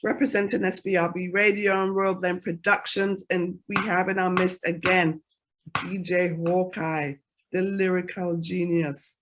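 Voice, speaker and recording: A woman radio host opening the show with blessings, warm greetings and shout-outs to listeners. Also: lowish voice, little pitch movement, measured pace, slight background noise. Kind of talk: lively show introduction.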